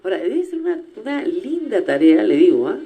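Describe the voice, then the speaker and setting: Lively Peruvian woman radio host. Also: medium pitch, a little fast, breathy edge. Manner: animated, emphatic, teasing. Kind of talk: radio interview chat.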